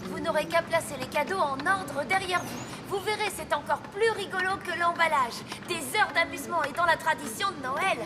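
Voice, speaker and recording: A woman's voice: very high-pitched, moderate pace, very noisy. A woman voicing a young boy in a cartoon, an eager, good-hearted apprentice Santa who speaks earnestly and politely. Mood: upbeat. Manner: earnest, bright-spirited, polite. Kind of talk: animated cartoon acting. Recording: music behind the voice.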